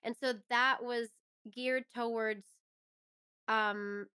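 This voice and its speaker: A woman's voice: a little high, steady tone, drawn-out words, sharp tone, smooth. A woman speaking as an interview guest in a casual, agreeable, chatty way. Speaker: interview guest, actress and musician. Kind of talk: casual chat.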